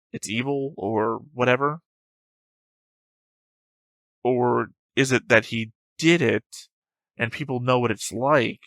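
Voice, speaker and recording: A man's voice: mid-range voice, fairly bright tone, dry recording. Musing man reacting off the cuff, close dry sound. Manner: musing, thinking aloud with rhetorical questions. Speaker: reaction show host.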